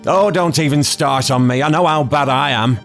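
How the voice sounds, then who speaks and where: A man's voice: on the high side, moderate pace, fairly bright tone, faint music behind, voice pushed up. A man voicing a brash, feisty older woman who blusters and scolds in an audiobook. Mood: blustering. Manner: brassy and blustering.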